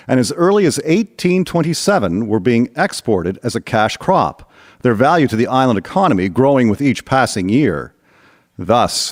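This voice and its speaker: A man's voice: medium pitch, no echo, studio quality. A man narrating a scripted historical radio drama in a storytelling style.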